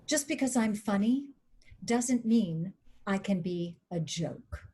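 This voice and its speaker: A woman's voice: mid-range voice, animated, slow, dark tone. A woman playing a shrewd, dryly skeptical studio boss in a screenplay table read. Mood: measured, challenging.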